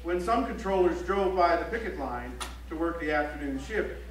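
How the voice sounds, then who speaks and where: An actor playing a serious newspaper reporter, reading news reports theatrically on an auditorium stage. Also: male voice, fairly high pitch, lively intonation, normal speed, natural voice. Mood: grave, emphatic. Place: library auditorium stage, live play. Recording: echoey auditorium from far off, faint hum.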